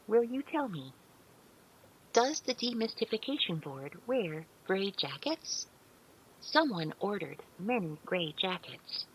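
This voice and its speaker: Audio drama role: woman voicing an innocent, earnest AI, formally polite, animated intonation with a creaky edge. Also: medium pitch, slight background noise, poor audio. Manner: precise formal wording, innocently literal. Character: polite, naive artificial intelligence woman, earnest and childlike.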